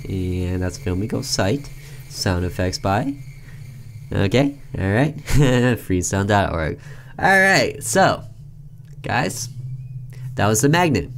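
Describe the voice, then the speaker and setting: A man's voice: on the low side, lively intonation, takes it slowly, long pauses, slight background noise. A man hosting a gaming video, reading a visual novel aloud and commenting casually.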